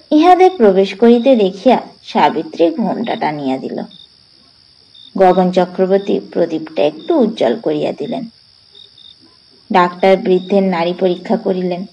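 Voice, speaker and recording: A woman narrating a literary story as a storyteller, with deliberate, dramatic phrasing. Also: medium pitch, mellow, poor audio.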